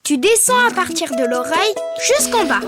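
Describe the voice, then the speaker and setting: A woman's voice: very high voice, very animated, moderate pace. A woman voicing a cheerful, helpful young child who gives step-by-step drawing instructions in a children's cartoon. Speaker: host of drawing tutorial. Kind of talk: children's educational cartoon acting. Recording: loud music behind the voice.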